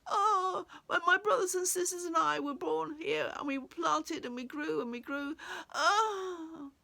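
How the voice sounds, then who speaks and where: A woman's voice: very high voice, creaky, bright, forceful tone, pitched above the performer's own voice, exaggerated voice. A woman voicing a small spindly tree in lifelong pain, groaning and wailing in a self-pitying storybook way. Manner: wailing, groaning, drawn-out moans.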